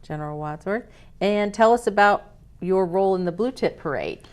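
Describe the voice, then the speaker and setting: A woman interviewing a guest in a casual chat, prompting him along with soft mm-hmms. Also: mid-range voice, moderate pace. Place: community TV studio.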